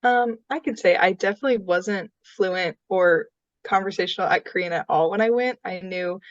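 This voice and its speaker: A woman's voice: medium pitch, moderate pace, no room sound. Young woman panelist, casual and earnest, dry, muffled lo-fi sound. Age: young adult. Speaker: student panelist. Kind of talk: panel discussion. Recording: dry, dull lo-fi audio.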